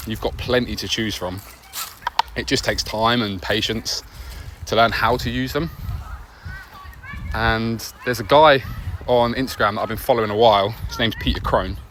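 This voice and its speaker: A man giving an earnest, reflective podcast monologue on an outdoor walk, speaking conversationally in short phrases with pauses between them. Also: fairly low pitch, a bit bright. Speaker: podcast host. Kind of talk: reflective personal monologue while walking a dog. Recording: noisy outdoor recording with nature ambience.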